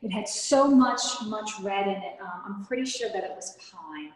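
A woman's voice: mid-range voice, expressive, normal speed. Homeowner lady chatting, stumbles into sentences, big echoey room. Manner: chatty, repeats words as she starts sentences. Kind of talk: casual chat on a live house tour. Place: large bare room, indoors. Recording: very echoey room with long reverb.

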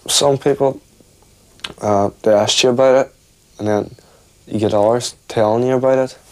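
A man's voice: medium pitch, steady tone, moderate pace, a little mellow. A young man, firm but hesitant with fillers in a documentary interview. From Northern Ireland. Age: young adult.

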